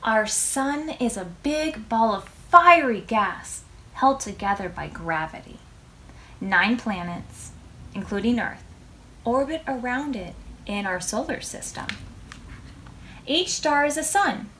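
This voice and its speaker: A woman reading a picture book aloud to preschoolers as a storytime host, expressive, drawing out words and putting questions to her young listeners. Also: on the high side, takes it slowly, slight background noise, low-quality recording.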